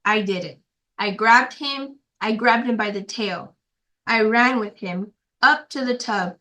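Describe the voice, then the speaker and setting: A woman's voice: a little high, unhurried, sharp tone. A female guest reader reading a children's storybook aloud in a simple, careful storytelling way.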